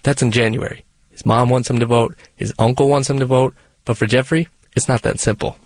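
A male reporter reading scripted narration for a radio feature in a measured, even storytelling delivery. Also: medium pitch, dry recording.

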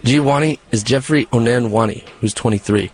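A male reporter reading scripted narration for a radio feature in a measured, even storytelling delivery. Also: medium pitch, crowd noise.

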